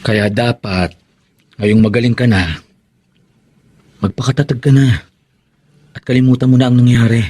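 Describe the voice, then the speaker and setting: A man acting a steady, caring older cousin in a radio drama, earnest and reassuring. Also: mid-range voice, moderate pace, pauses often, breathy edge, no echo, lightly acted. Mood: caring, firm.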